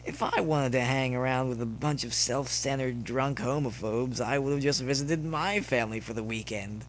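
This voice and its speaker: Cheeky college-age stallion for audio fiction, played by a man: pitched up, teasing, theatrical, proud, light background hiss. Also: moderate pace, close to an everyday voice. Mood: amused, defiant. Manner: teasing, theatrical, mock-camp. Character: cheeky, proud, nonchalant young male college pony.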